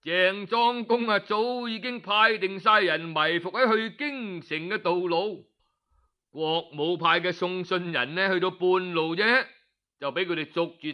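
A man's voice: high-pitched, pushed and bright, clear, resonant tone. A man telling a historical tale in Cantonese, in a theatrical traditional storytelling style that addresses listeners directly. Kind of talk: dramatic traditional oral storytelling.

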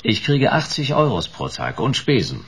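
A man's voice: mid-range voice, big pitch swings, normal speed, soft background music. A middle-aged man playing a brusque, sardonic private detective in German radio play dialogue. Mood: cynical, dry. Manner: sardonic, curt, dry. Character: cynical, brusque male private detective in his office.

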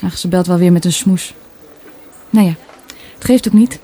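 Mellow, melancholy young woman. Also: on the low side, moderate pace, breathy edge. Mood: resigned. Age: young adult. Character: melancholy, guarded young daughter, doubting her mother. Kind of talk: Dutch radio play dialogue, naturalistic.

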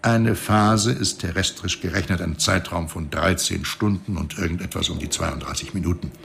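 A man's voice: low-pitched, normal speed, vocal fry, fairly bright tone, slight background noise, exaggerated voice. An actor playing a glib, chatty reanimator in a science fiction radio play, wry and flippant as he explains things. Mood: wry, explaining. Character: glib, chatty male reanimator in a future space colony.

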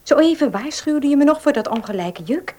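A woman's voice: sits high, expressive, fairly quick, mellow. A woman playing a devout, puzzled young woman in a Dutch radio play, questioning and sceptical.

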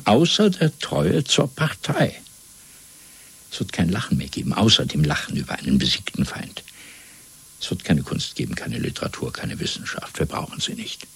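Zealous party interrogator, low husky voice, measured lecturing, menacing, radio drama. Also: male voice, no echo, exaggerated voice. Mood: fanatical fervour. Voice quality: gravelly, husky. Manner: measured, lecturing, swelling from hushed to fervent. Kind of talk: German radio play acting.

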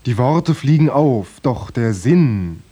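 A man in a radio play slowly and solemnly intoning short poetic refrains. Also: mid-range voice, animated, dark tone, breathy edge. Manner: drawn-out, intoning. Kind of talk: slow, solemn recitation.

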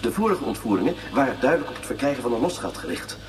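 An actor playing a formal, urgent television news reporter in a science fiction radio play. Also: male voice, mid-range voice, sing-song, recorded from a distance, faint music behind, natural voice. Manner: formal, measured wording. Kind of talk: newsreading within a radio play.

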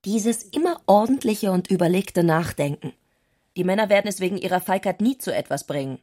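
A woman's voice: mid-range voice, animated, fairly quick, mellow, natural voice. An actress playing an intense, headstrong and eccentric young woman in a radio play, speaking in an earnest, insistent manner. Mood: provocative.

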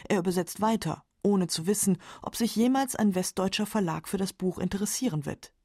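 A woman's voice: medium pitch, a little fast, darkish tone, no room sound. A woman reading scripted narration for a literary radio documentary, close on a studio microphone.

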